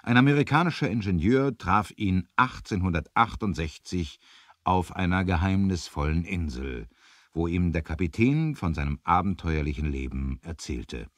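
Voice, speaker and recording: A man narrating a scripted 1970s adventure audio drama, measured, with dramatic emphasis. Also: deep voice, lively intonation, a bit bright, dry recording, studio quality.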